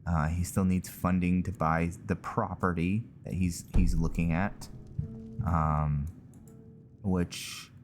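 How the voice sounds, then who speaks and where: A man's voice: low-pitched, flat delivery, takes it slowly. A man giving casual commentary on his roleplay playthrough, rambling, hesitant and often trailing off. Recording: game music behind the voice. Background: video game music.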